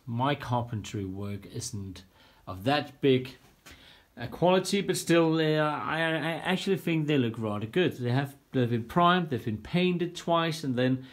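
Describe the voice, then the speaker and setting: A man's voice: medium pitch, expressive, measured pace. Exasperated man filming his workshop. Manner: frank, self-deprecating, a bit exasperated. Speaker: home cockpit builder. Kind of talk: casual walkthrough vlog. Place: home workshop room. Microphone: handheld phone camera.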